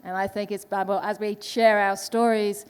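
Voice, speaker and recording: A woman's voice: mid-range voice, flat delivery, moderate pace, smooth. A woman from Vanuatu, introducing herself as a panelist at a live event. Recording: echoey hall.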